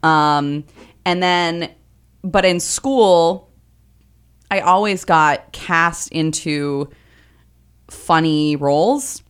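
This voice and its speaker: A woman in her thirties with a lower voice, chatting as an interview guest in a loose, self-deprecating way with many fillers. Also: very slow, long pauses, clear, resonant tone. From Vermont Northeast Kingdom. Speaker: guest comedian. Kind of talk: casual interview conversation.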